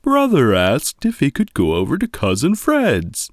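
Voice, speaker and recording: Man doing an easygoing papa bear, pitched up. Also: wildly swooping pitch, measured pace, no room sound, clean studio sound. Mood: casual, reassuring. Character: easygoing father bear in a storybook. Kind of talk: children's storybook character reading.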